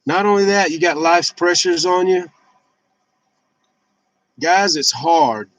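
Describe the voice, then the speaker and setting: A man's voice: on the high side, takes it slowly, a bit bright. A man in his fifties talking casually and candidly in a rambling, blunt, confessional personal vlog. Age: 50s. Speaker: vlogger sharing fasting and fitness journey.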